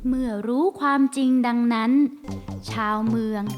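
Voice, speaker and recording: A woman narrating a children's cartoon tale in an expressive storybook manner. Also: a little high, normal speed, faint music behind.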